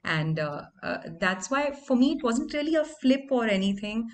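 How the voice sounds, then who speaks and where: Woman singer chatting warmly. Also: moderate pace. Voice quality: deeper voice. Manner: animated, conversational storytelling. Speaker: singer and interview guest. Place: small room at home. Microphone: microphone on stand in home studio.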